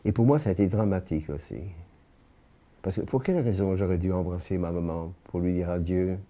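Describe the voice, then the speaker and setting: Deep, dark-voiced man in his eighties. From born in France. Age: 80s. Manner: halting, with frequent restarts and pauses. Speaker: witness giving testimony. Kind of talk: spoken personal testimony.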